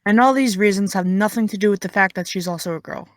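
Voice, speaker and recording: A young actress playing a blunt, sarcastic high school girl with no filter. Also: fast, no room sound, clean studio sound, close to an everyday voice. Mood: prodding. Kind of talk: audio drama.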